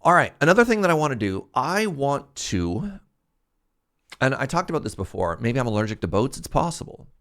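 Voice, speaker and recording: Congested, animated male streamer. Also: mid-range voice, fairly quick. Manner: chatty, thanks viewers, rambling and animated. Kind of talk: casual live-stream chat while gaming.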